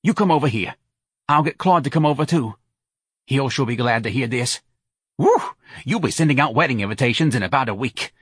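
A man's voice: mid-range voice, lively intonation, a little fast, breathy edge, no room sound, studio quality, pitched above the performer's own voice. A man voicing a pushy, scheming middle-aged woman from New who talks in a brassy, bossy way. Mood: eager.

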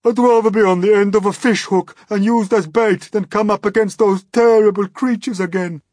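A man's voice: sits high, steady tone, moderate pace, a little mellow, no echo, studio quality, voice pushed up. A man voicing a timid, fretful blind earthworm who shrieks and wails. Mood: panicked. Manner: shrieking, wailing.

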